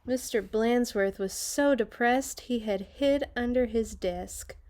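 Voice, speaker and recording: A woman reading a children's story aloud with dramatic, deliberate phrasing and chatty, playful asides. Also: fairly high pitch, darkish tone. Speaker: read-along storyteller for children. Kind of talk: expressive picture-book read-aloud with chatty asides.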